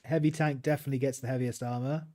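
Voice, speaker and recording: A man's voice: mid-range voice, fairly even intonation, fast, warm tone. A British man casually commentating his gameplay on a livestream and chatting with his viewers. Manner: easygoing, chats with viewers while playing. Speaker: streamer.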